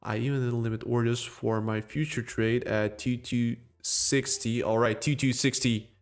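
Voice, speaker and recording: A man's voice: medium pitch, fairly even intonation, moderate pace, clear, resonant tone, faint music behind. A man with a non-native English accent hosting a casual crypto live stream, joking and rambling as he answers chat. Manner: rambling, joking, with laughs and restarts.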